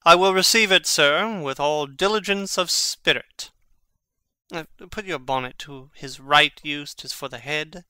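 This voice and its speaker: An actor playing a witty, sardonic young prince in a Shakespearean audio drama, speaking in an articulate, theatrical, mocking way. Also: male voice, on the high side, measured pace, pushed and bright, smooth, no echo. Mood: dryly mocking.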